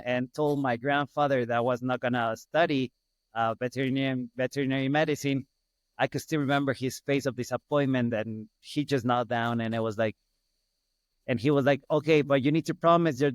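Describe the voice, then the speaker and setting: A man's voice: medium pitch, steady tone, fairly quick, clear, resonant tone, no echo. A middle-aged man with an Ecuadorian Spanish accent, chatting as an interview guest and repeating phrases for emphasis. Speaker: podcast guest, hospital business owner. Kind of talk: casual interview storytelling.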